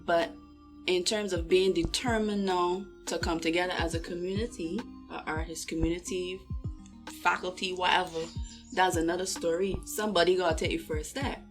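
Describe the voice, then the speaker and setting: A woman's voice: fairly low pitch, fairly even intonation, moderate pace, over music. A woman from Barbados hosting an interview, listening attentively and murmuring agreement often. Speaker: host.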